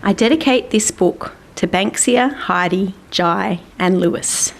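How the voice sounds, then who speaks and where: A woman's voice: mid-range voice, unhurried, gravelly, a little hiss or hum. A woman narrating a scripted children's story as its storyteller. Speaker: author and storyteller.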